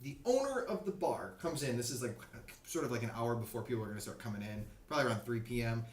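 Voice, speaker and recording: A man's voice: mid-range voice, expressive, fast. Guy on a podcast rambling thru a band story, swears a lot, room echoes. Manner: loose, profane, laughs often, does loud mock voices. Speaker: podcast co-host. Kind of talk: casual chat, storytelling. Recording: roomy echo.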